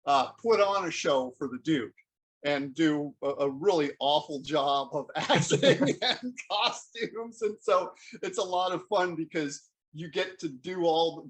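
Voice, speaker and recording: An American man works as a casual interview host. His voice is a bit high for a man and has a rough, breathy edge. He phrases things in a rambling, halting, conversational way. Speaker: interviewer and host, community theater member.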